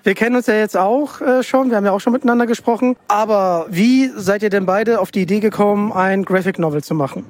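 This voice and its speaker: A man's voice: high voice, quick pace, hardly any pauses, no room sound. A man hosting a casual podcast interview. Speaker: podcast host. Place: book fair.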